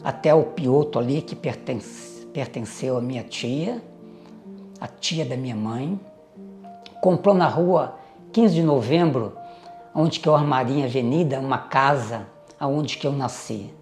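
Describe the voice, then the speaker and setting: An older man, recalling his family history in a measured, reflective way with pauses and hesitant fillers. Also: medium pitch, darkish tone, faint music behind. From Minas Gerais, Brazil. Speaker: interviewee recounting family history. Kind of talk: reminiscing oral history.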